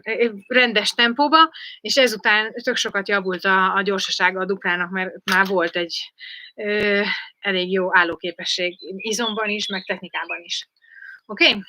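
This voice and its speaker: A woman's voice: fairly high pitch, pushed and bright, no room sound. A woman teaching a live online jump rope class, chatty and often checking in with "okay?". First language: Hungarian.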